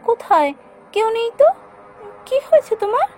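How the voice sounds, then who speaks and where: High pitched caring wife, actress voicing her, fusses a lot, cartoon with music behind. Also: normal speed. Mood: concerned. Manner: fussing, expressive, solicitous. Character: caring, concerned wife and mother. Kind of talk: cartoon voice acting.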